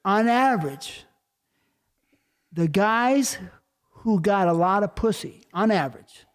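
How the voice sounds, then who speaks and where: Host, man in his 50s, high voice, blunt, swears freely. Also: lively intonation, smooth. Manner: blunt and profane, quick interjections. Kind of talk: casual interview chat.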